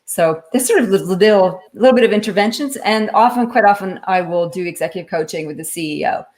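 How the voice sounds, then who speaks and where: A woman's voice: medium pitch, expressive, fast talker, darkish tone. A woman in a live online interview, explaining her leadership consulting work in an articulate, explanatory way. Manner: articulate, explanatory, lightly laughing. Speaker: guest, leadership author and consultant.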